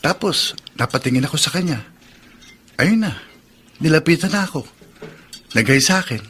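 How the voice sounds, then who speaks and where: A man's voice: a little high, expressive, moderate pace, a bit bright. An actor playing a shy, grieving young man, tender and earnest, in Filipino radio drama style. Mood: shy, eager. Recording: clear background ambience noise.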